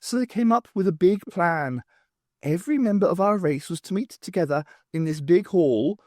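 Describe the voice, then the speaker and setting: Audiobook alien, ancient male rebel poet, last survivor, high lilting delivery, rambling and wistful, performed by a man. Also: expressive, normal speed, a little mellow, dry recording, studio quality. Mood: eager reminiscing.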